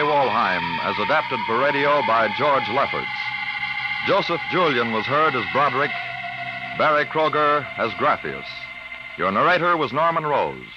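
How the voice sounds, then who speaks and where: A man announcing in a formal 1950s radio style. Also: medium pitch. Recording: muffled, hissy vintage radio broadcast.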